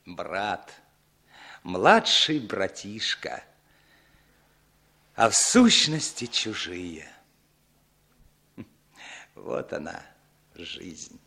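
A man's voice: sits low, sing-song, drawn-out words, creaky, pauses often, pushed and bright, full character voice. An actor playing an evasive, bitter long-lost older brother in a 1960s Soviet radio drama, emotional, repeating his words. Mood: resigned, bitter.

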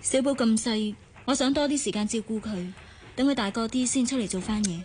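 An actress playing an earnest, proud young office worker and bride-to-be in a Hong Kong Cantonese radio drama. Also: female voice, a little high, fairly quick, a little mellow, a little hiss or hum, close to an everyday voice. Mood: resolved.

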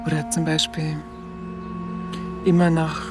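An actress playing a plain-spoken Munich woman, recalling the war in an intimate, halting radio monologue. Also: low-pitched, little pitch movement, music in the background. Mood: reminiscent. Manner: halting, conversational.

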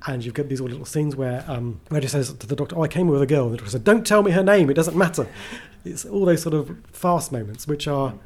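A man's voice: on the high side, fast, hardly any pauses, a little mellow. A man chatting casually as an interview guest, a writer who is enthusiastic, rambling and self-deprecating.

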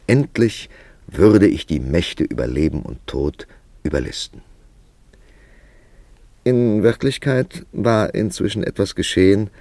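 Male radio drama narrator, weary ironic writer, slow measured phrasing, tightly dry studio sound, wry literary manner. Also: low-pitched, vocal fry, darkish tone, no echo, exaggerated voice.

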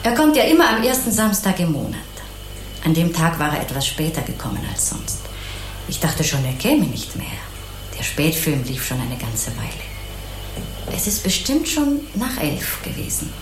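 An actress playing a kindly, naive, chatty housewife in an obliging, rambling way in a German crime radio play. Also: female voice, sits low, very animated, normal speed, echoey room, soft background music. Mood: sympathetic, chatty. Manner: obliging, artless, rambling, sympathetic.

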